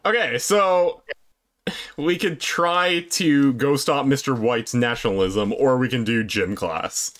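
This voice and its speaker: A man's voice: medium pitch, takes it slowly, fairly bright tone. A young man giving casual reaction commentary as host of a gaming video with friends. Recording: noise and clicks behind.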